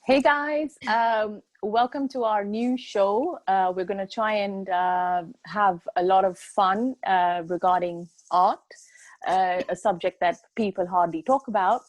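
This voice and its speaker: A woman's voice: mid-range voice, steady tone, a little slow, no echo. A woman co-hosting an art chat show, talking casually with many hesitant fillers. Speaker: co-host and artist.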